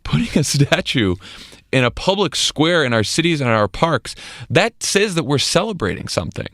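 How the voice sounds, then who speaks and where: A man's voice: on the high side, very animated, normal speed, fairly bright tone, no echo, clean studio sound. An American man co-hosting a podcast, giving casual political commentary in an emphatic, conversational way. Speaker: podcast co-host.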